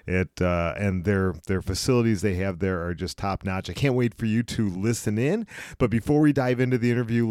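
A man's voice: lowish voice, a little mellow, clear, resonant tone, dry recording. Man, podcast host, upbeat, enthusiastic, dry close sound. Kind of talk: podcast intro and interview.

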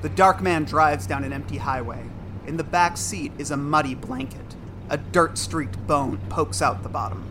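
A man's voice: a little high, normal speed. A man narrating a scripted audio drama, reading out the action as a storyteller. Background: music.

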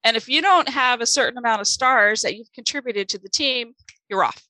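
A woman whose first language is German, co-hosting a podcast in casual, chatty conversation, self-deprecating with quick asides. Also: on the high side, sharp tone, dry recording, low-quality recording. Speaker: podcast co-host, author. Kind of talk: casual chat.

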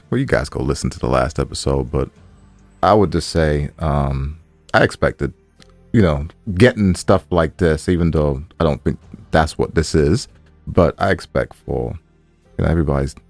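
A man with a deep voice that swings widely in pitch banters as a podcast co-host. He is informal and easygoing, sounding close and dry with faint music behind him. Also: expressive, moderate pace, a little mellow, no room sound.

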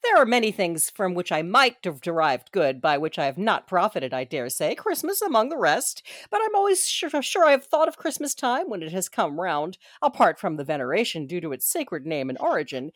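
Cast a woman as a warm-hearted young man, upbeat and earnest, lively delivery. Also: lowish voice, moderate pace, hardly any pauses, no echo, studio quality. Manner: pleading, upbeat. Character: cheerful young male nephew.

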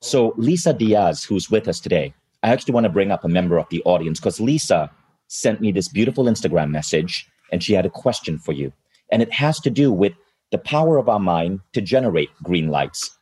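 A man's voice: sits low, animated, a little fast, mellow, husky. A male host running a live-streamed interview, enthusiastic and speaking to the audience watching.

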